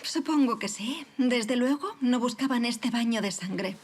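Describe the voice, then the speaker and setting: High-voiced, poised French marquise. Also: female voice, animated, a little slow, a little hiss or hum. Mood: wry, regretful. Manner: courteous, refined, formal archaic phrasing. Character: poised, wry aristocratic marquise during the French Revolution. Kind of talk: period audio drama acting.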